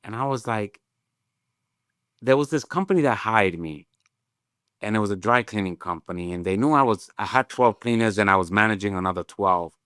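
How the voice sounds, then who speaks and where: Man in his fifties from Puerto Rico, Spanish as his first language; smooth, crisp, lowish voice, relaxed reflective storyteller. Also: normal speed, no echo, clean studio sound. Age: 50s. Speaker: tech company CEO guest. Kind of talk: casual interview storytelling.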